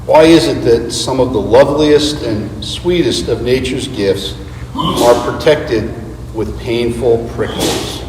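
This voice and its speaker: A man giving an earnest, deliberate dramatic reading of a script as a church readers theater performer. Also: mid-range voice. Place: large reverberant church space. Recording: echoey hall, distant mic, background noise.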